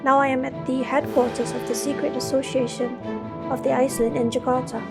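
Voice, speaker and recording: Sincere young female recruit played by a woman, fairly high voice, Indonesian accent, scripted radio drama over music. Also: little pitch movement, a little fast. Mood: reflective. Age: young adult. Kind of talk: amateur radio drama acting and narration. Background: loud music.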